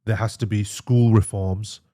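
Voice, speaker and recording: A man's voice: on the low side, fairly even intonation, a little slow, dark tone. A man speaking as an interview guest in casual, matey conversation, often repeating himself. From Australia. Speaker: interview guest, emergency physician.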